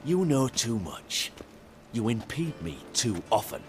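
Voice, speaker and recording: A man's voice: mid-range voice, sing-song, unhurried, faint music behind. An actor playing a cruel, haughty fantasy king in a video game, commanding and formal. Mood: menacing, imperious. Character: cold, imperious, cruel king of a fantasy realm. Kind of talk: dramatic video game voice acting.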